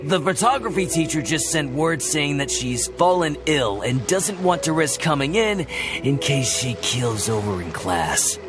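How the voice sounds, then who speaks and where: A dutiful first mate in a game, earnest and respectful toward his captain. His voice is a touch high and animated, and clear game music runs underneath. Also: a little slow.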